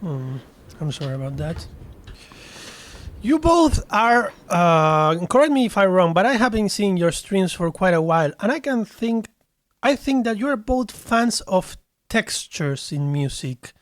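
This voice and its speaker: A man's voice: high-pitched, big pitch swings, measured pace, no room sound. A man from Argentina, hosting a casual interview and asking playful, rambling questions. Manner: playful, jokey, asks long rambling questions. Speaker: host.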